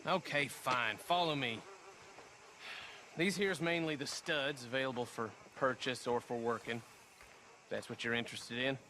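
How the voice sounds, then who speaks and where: A gruff, folksy stable hand speaks ungrammatical country talk at a medium pitch. It is acted for a game cutscene, with clear noise in the background. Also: male voice, unhurried, pauses often, bright, forceful tone. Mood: grudging, salesmanlike. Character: gruff, suspicious rural Southern stable hand who loves horses. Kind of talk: video game cutscene acting.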